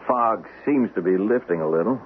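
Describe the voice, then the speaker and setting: A man plays a loyal husband, calm yet uneasy, in an old radio drama. His voice is fairly low and very expressive in pitch. The recording is narrow and tinny. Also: normal speed, a little hiss or hum, natural voice.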